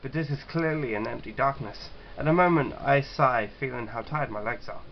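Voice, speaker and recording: Guy doing a casual lets play, stumbly reading and chatting, muffled mic w some background noise. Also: medium pitch. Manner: stumbling, halting when reading aloud. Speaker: gaming channel host. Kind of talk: casual let's-play chat and halting read-aloud of a visual novel.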